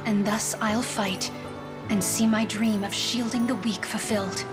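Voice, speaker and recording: A woman's voice: steady tone, a little slow, music in the background, close to an everyday voice. A woman voicing an earnest, proper young noblewoman who dreams of knighthood, speaking formally in a video game. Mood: resolute, earnest. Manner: formal, high-flown, earnest diction. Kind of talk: scripted video game voice acting.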